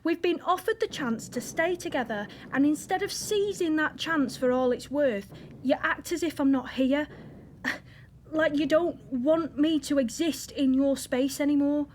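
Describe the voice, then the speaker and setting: An actress playing a frustrated young wife from wartime northern England in an audio drama, blunt and emotional, with a Northern English accent. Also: female voice, high voice, unhurried, slight background noise. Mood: hurt, indignant.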